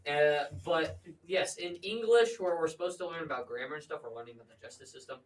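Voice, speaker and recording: A teenage boy co-hosting a comedy podcast with rambling, excitable, mock-indignant banter, often repeating himself. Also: fairly high pitch, quick pace. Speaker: co-host of a chaotic comedy podcast. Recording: distant mic with clear background noise.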